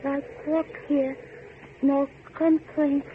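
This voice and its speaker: Slow, childlike, dazed worker girl, high-voiced. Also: little pitch movement. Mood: dazed, rote. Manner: halting broken phrases repeated by rote. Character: timid, childlike factory worker woman, dazed and drilled. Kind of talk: 1940s radio horror acting. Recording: tinny, muffled old radio recording with hiss.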